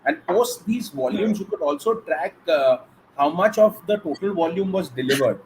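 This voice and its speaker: A man's voice: a little high, expressive, normal speed, low-quality recording. A man with an Indian accent hosting and moderating a live question-and-answer show. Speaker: host. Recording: faint background noise.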